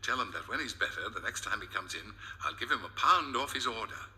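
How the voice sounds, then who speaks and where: A man's voice: on the low side, lively intonation, fairly quick, bright, forceful tone. Man reading as a chatty, confiding woman shopkeeper. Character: chatty woman delicatessen shopkeeper, fond of a regular.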